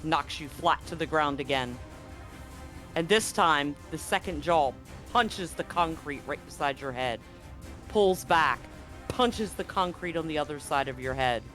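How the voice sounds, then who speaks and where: A man's voice: on the high side, measured pace, sharp tone, soft background music. A man working as game master, switching between dramatic scene-setting and casual rulings in a tabletop roleplaying game. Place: live online stream.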